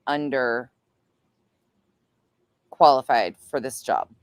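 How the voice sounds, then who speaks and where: A woman's voice: very deep voice, expressive, slow pace, clean tone, dry recording. A woman giving casual reaction commentary in an emphatic, colloquial way.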